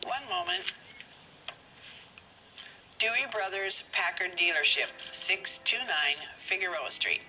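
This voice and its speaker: A woman's voice: mid-range voice, sing-song, slow, long pauses, pushed and bright, slight background noise, full character voice, poor audio. A woman acting an efficient, polite police switchboard operator in a 1940s crime video game, heard over a telephone. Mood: courteous.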